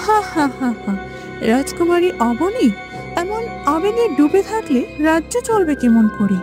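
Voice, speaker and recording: Scornful cartoon princess, female performer: high-pitched, sarcastic, jealous of her rival, music behind. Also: big pitch swings, unhurried, heavily acted. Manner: sarcastic, mocking. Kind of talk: cartoon fairytale acting.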